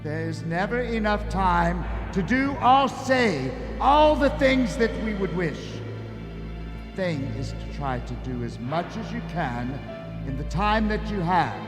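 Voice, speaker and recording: Stage radio play spirit: grand, reproachful, high-pitched, wide swoops, hall reverb. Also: male voice, big pitch swings, unhurried. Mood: solemn. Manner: theatrical, declamatory, swelling into thunderous commands. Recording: roomy stage hall, music underneath.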